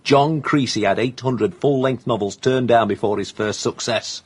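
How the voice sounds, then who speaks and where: Male actor as florid scribbler, comic radio play; rough-edged voice, pompous, prickly, insistent. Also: medium pitch, moderate pace, lightly acted. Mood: self-important. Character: florid fat man, self-important unpublished hack writer, prickly. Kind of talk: comic radio drama acting.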